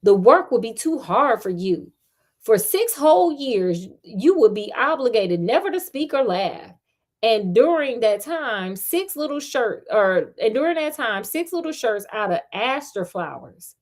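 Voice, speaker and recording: A woman's voice: on the high side, very animated, a little slow. A woman voicing earnest enchanted swan brothers cautioning their sister in a fairy tale. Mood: solemn. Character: six enchanted swan brothers, urgent young men warning sister.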